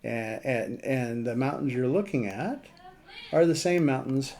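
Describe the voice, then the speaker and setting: A man giving a casual screen walkthrough as a radio hobbyist, thinking aloud in a halting way. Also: mid-range voice, a little mellow, a little hiss or hum. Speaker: amateur radio operator presenting a map demo.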